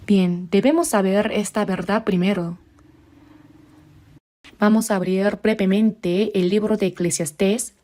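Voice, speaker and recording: A woman delivers a sermon in a warm, mellow voice. She speaks at a measured pace and draws out the scripture passages slowly. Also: mid-range voice, steady tone. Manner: measured, slows down for scripture readings. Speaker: preacher. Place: church.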